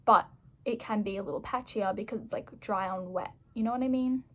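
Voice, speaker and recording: A woman's voice: medium pitch, fairly quick, fairly bright tone. A young woman turning twenty-one, chatting casually to camera while doing her makeup, rambling, self-mocking and full of "like"s. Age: young adult. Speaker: vlogger.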